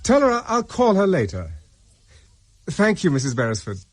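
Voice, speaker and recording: A British man's voice, fairly high and very animated, as a wary, resourceful captain in a radio thriller. He sounds like a fugitive used to giving orders. There is light ambient noise behind him. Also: normal speed. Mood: polite, anxious. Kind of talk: radio drama acting.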